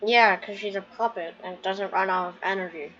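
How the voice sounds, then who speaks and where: A woman's voice: medium pitch, steady tone, normal speed, a bit bright, dull audio. A young woman giving casual, rambling, giggly commentary while playing a video game. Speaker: gamer streaming a playthrough.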